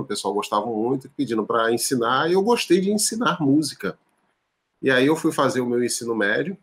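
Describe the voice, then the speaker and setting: A man in his forties from Rio de Janeiro, answering interview questions as a teacher over a video call from home. He talks expansively and often adds tag questions. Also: medium pitch. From Rio de Janeiro, Brazil. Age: 40s. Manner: expansive, gestures freely, frequent tag questions. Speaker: teacher and musician, guest. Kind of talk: relaxed conversational interview answers. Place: home room, indoors. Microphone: video call, laptop webcam.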